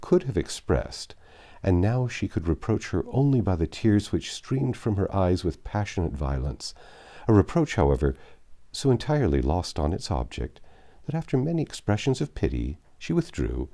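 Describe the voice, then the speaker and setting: A man narrating a classic novel aloud in an expressive, measured way with dramatic phrasing. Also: deep voice, creaky. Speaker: audiobook narrator. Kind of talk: expressive literary reading aloud.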